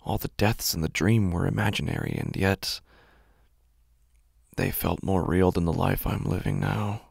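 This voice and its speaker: An extremely low-voiced man tells a horror story in the first person. His delivery is brooding and intense, full of heavy fry and sliding dramatically in pitch. He sits close to the microphone. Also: expressive, measured pace, a little mellow, dry recording. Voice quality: gravelly, husky, breathy at times. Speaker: horror podcast narrator.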